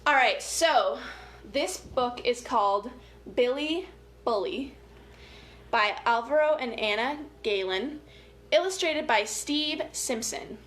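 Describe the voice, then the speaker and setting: High-pitched woman hosting children's story time, echoey. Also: animated, slow, fairly bright tone. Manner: playful, chatty, informal, encouraging children along. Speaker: story time host, family educator. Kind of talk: casual interactive children's story time, movement prompts and read-aloud. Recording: echoey room with light background noise.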